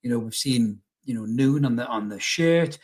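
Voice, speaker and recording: A middle-aged man hosting a casual football fan vlog, chatty and rambling, with blunt opinions and rhetorical questions, in a slightly husky voice. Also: mid-range voice, normal speed, darkish tone. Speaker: YouTube football channel host.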